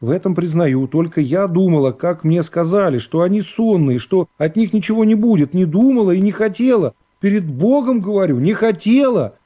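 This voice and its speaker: Guy playing young accused woman in a radio play, blunt and insistent, unadorned soft tone. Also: high-pitched, animated, moderate pace, few pauses. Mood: sullen, pleading. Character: young accused woman, a prostitute, stubbornly protesting innocence. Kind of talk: radio play character acting.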